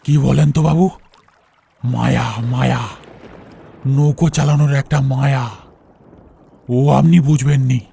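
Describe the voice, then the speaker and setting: A man's voice: mid-range voice, steady tone, slow, gravelly, pauses often, dark tone, breathy edge, full character voice. A man voicing a mysterious, fatalistic rustic boatman ghost in a cartoon ghost story, speaking deferentially and repeating his phrases. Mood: evasive, eerie. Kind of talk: Bengali animated ghost story acting. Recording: music under the voice.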